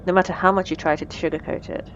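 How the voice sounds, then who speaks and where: A woman's voice: fairly low pitch, flat delivery, fast talker, muffled recording, a little hiss or hum, natural voice. A woman playing a wry, menacing supervillain in a scripted audio roleplay, teasing and condescending, with cool threats. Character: wry, menacing female supervillain mentoring a captive assistant.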